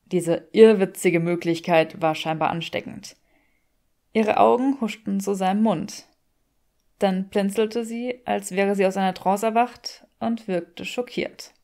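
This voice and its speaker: A woman's voice: mid-range voice, fairly even intonation, a little slow, darkish tone. Woman narrating fiction with wry measure. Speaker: audiobook reader. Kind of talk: expressive scripted fiction narration in German.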